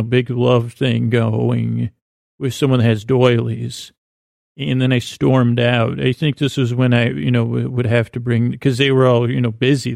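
A man hosting a podcast, telling a meandering, deadpan improvised comic monologue in a creaky voice, full of asides and restarts. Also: medium pitch, no room sound, clean studio sound. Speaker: podcast host. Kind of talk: rambling improvised comedic monologue.

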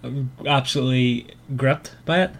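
A man hosting a casual book club chat in a hesitant, halting way, often restarting his sentences. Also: mid-range voice, steady tone, creaky. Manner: hesitant, halting delivery with repeated false starts. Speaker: podcast host.

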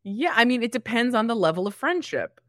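A woman's voice: a little high, sing-song, a little fast, dry recording, studio quality. A woman co-hosting a podcast, chatting casually in a blunt, wry and playful way. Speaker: podcast co-host. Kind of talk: casual chat.

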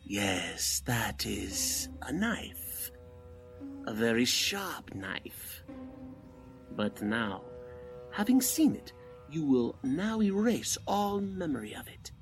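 An actor playing a crooked cult leader and fake medium in a 1940s-style radio drama, formal and theatrical in manner. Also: male voice, medium pitch, sing-song, slow pace, soft background music. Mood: hypnotic, menacing. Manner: formal, theatrical, commanding or pleading.

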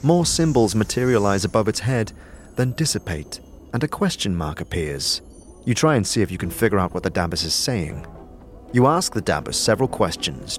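A man narrating a fantasy audio drama in a measured, scripted storytelling style. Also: lowish voice. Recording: music behind the voice.

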